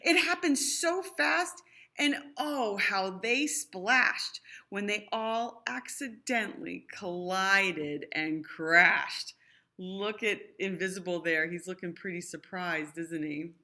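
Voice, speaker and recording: A woman's voice: mid-range voice, slow, sharp tone. A woman reading a picture book aloud to young children, expressively, with explanatory asides. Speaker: storybook reader.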